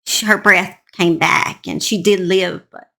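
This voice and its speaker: A woman's voice: fairly low pitch, fairly even intonation, a little slow, creaky, bright, forceful tone. A woman host narrating a true crime story in an informal, plain-spoken, conversational way, speaking straight to her listeners.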